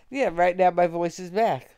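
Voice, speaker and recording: A woman's voice: on the low side, unhurried, mellow, clear, resonant tone. An older woman with a stuffy, congested nose, chatting casually as co-host and giving blunt, short answers. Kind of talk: casual chat.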